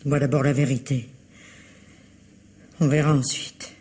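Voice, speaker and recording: An actress playing a middle-aged, indignant matriarch in a vintage French radio drama, with a sharp, reproachful, theatrical delivery. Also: female voice, very deep voice, fairly even intonation, a little slow. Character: stern, indignant family matriarch scolding a young man. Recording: faintly noisy old radio recording.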